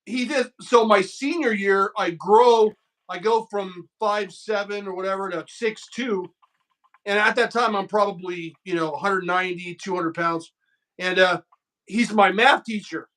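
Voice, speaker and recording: A man's voice: high-pitched, unhurried, fairly bright tone. A man in his fifties hosting a casual conversation, telling loose stories and swearing freely. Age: 50s. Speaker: host.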